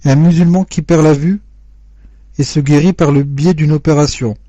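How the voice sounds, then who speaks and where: Mellow man reading questions aloud. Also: on the high side, fairly even intonation, no echo. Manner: measured, formal reading. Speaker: question reader. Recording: dull dry lo-fi recording.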